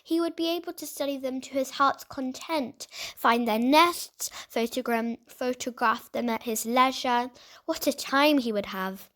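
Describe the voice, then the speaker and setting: High-voiced woman reading a children's story. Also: fairly bright tone. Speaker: storybook reader. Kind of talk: children's novel read aloud.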